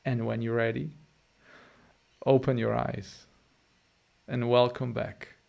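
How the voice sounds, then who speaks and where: Gravelly-voiced man with a Polish accent. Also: medium pitch, little pitch movement, takes it slowly, darkish tone. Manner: speaks in short phrases addressed straight to listeners. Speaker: meditation teacher. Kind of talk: guided meditation and live-stream chat.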